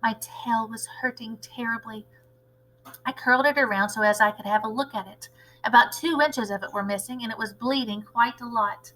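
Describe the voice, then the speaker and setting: A woman reads a children's story aloud in a fairly high, bright, forceful voice. She narrates like a teacher, expressive and dramatic, stressing the action words. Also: normal speed, poor audio.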